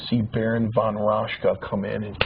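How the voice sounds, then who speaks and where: A man's voice: fairly low pitch, flat delivery, measured pace, vocal fry. Rambling man in his forties, lo-fi. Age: 40s. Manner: rambling, restarts, repeats words. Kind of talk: casual talk show chat. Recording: dull lo-fi sound with faint hiss.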